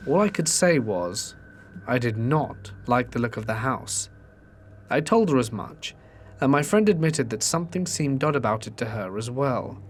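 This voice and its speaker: A man tells ghost stories in a steady, measured storytelling voice at an ordinary middle pitch. A faint hiss sits under the narration. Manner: steady storytelling delivery, reading submitted accounts.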